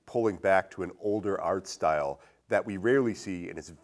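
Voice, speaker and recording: A man with a fairly low-pitched voice talks as the host of a casual film podcast. He thinks aloud as he builds probing questions, in a conversational way. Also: a little fast.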